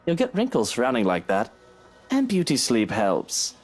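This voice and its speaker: Husband, swooping sweet-talker. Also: male voice, medium pitch, huge pitch swings, unhurried. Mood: tender, apologetic. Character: charming, placating British husband, sweet-talking and evasive. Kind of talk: visual novel game dialogue acting.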